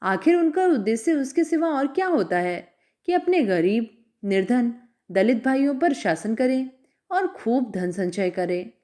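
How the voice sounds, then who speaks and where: A woman's voice: a little high, sing-song, unhurried, warm tone. A woman narrator voicing a defiant young college girl who answers back scornfully in a story reading. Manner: bold, scornful retorts.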